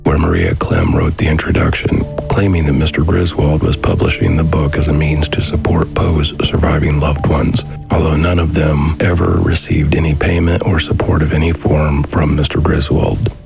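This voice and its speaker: An extremely deep male voice, heavy with gravelly fry, narrates a scripted documentary. The delivery stays flat and even, with music clearly playing underneath. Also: moderate pace.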